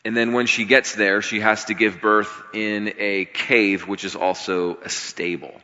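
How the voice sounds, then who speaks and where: Scratchy, forceful American preacher in echoing hall. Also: male voice, fairly low pitch, flat delivery, measured pace. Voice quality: slightly scratchy. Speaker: pastor. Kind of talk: conversational sermon to a congregation. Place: large room, speaking to a congregation. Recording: reverberant hall, slightly dull sound.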